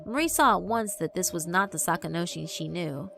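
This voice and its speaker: A woman's voice: lowish voice, lively intonation, fairly quick, creaky, a bit bright, faint music behind. A woman reading dramatic scripted narration for a visual novel.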